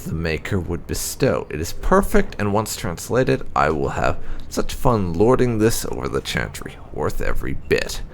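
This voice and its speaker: Guy putting on a power-hungry fantasy game enchanter, vain and dramatic, pitch all over, music under it. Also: huge pitch swings, moderate pace, creaky, a little hiss or hum, full character voice. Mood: smug delight. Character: vain, obsessive enchanter scholar, gloating and furious.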